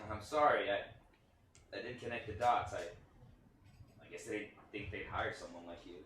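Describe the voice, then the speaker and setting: A man's voice: fairly low pitch, a little slow, a bit bright. A man playing a nervous, apologetic children's TV performer in a naturalistic play reading, stammering and hesitant. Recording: very echoey room, distant mic, faint noise.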